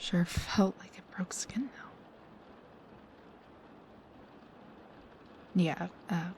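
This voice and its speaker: A young woman playing a snarky, high-strung research assistant in an intimate audio roleplay, with a halting, stammering, dramatic delivery. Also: darkish tone, whispered. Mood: subdued, puzzled. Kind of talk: intimate one-sided audio roleplay acting.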